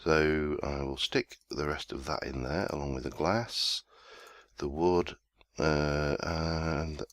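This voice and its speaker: A man with an extremely low, bassy voice talks through his game in an easygoing, rambling way. He sounds chesty and a little congested from a summer cold. The recording is dry and close, with no room sound. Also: level tone, takes it slowly, no echo. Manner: rambling, self-deprecating, easygoing. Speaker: let's play host. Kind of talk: casual gameplay commentary.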